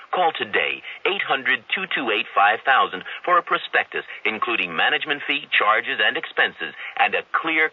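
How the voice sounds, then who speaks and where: A man's voice: mid-range voice, slightly rough, no room sound. A man announcing a scripted radio commercial in a polished, persuasive sales delivery. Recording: tinny, phone-like old radio recording.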